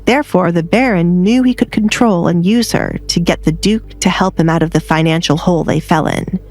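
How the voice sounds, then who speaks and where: A woman's voice: fairly low pitch, fairly quick, a little mellow, soft background music. A woman reading scripted story narration as an audiobook narrator.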